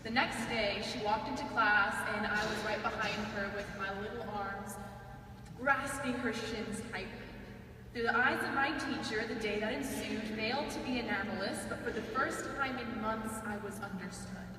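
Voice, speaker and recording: A woman's voice: a little high, sharp tone. Young woman giving a speech in an echoey hall. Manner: earnest, measured, with dry humor. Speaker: student speaker. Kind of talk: prepared speech. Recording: echoey hall, mic far off, faint hiss.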